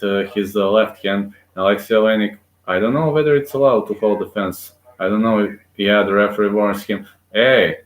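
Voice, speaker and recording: A man giving live play-by-play commentary on a fight, excitable and cheering aloud, with frequent fillers and restarts. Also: fairly low pitch. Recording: echoey room.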